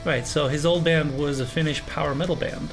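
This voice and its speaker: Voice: medium pitch, steady tone, fairly quick, creaky. A music reaction co-host chatting casually, with slangy, jokey talk and some swearing.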